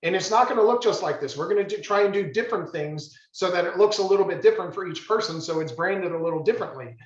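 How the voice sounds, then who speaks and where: A man runs a real estate team meeting, pressing his points and often restarting mid-phrase. His fairly high voice echoes around the room, and the sound comes through dull. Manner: emphatic, halting restarts. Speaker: real estate office leader.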